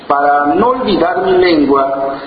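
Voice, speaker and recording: A man from Oaxaca whose first language is Zapotec, a poet who tells his story haltingly, with many fillers and restarts, and reads his own poems. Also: a little high, lively intonation, heavy reverb, big-room sound, dull audio, noisy background. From Juchitán, Oaxaca, Mexico. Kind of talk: slow reflective interview and poetry reading.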